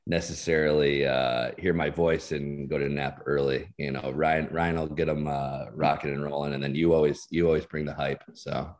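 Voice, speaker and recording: Very deep, laid-back male guest. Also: flat delivery, moderate pace, few pauses, a little mellow, soft background music. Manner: laid-back, self-deprecating, many fillers. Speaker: guest, insurance executive. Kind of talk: casual interview chat.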